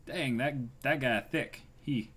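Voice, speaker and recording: A man co-hosting a manga read-along, reading aloud and reacting casually with goofy, excitable exclamations. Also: medium pitch, slow pace, breathy edge.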